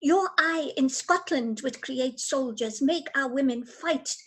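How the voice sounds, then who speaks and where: High, solemn messenger, played by a woman. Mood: grave urging. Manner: solemn, measured delivery. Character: grave, reluctant noble messenger bearing terrible news. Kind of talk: amateur radio-play Shakespeare acting. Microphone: recorded over video call.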